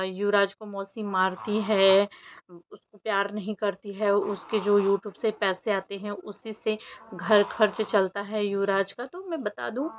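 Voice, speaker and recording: A woman vlogger giving casual, opinionated commentary in an emphatic, argumentative way. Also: mid-range voice. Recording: dull lo-fi audio with faint noise.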